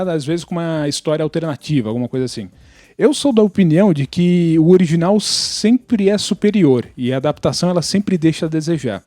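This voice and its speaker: A man's voice: a little high, warm tone, dry recording, clean studio sound. A man with a Brazilian Portuguese accent explaining his opinions in a casual podcast discussion. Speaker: podcast participant.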